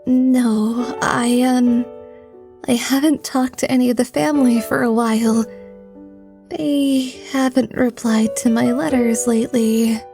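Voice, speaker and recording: Woman as young fire genasi granddaughter, high voice, unhurried, hesitant, earnest, emotional, music behind. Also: level tone, vocal fry, mellow. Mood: nervous, hesitant.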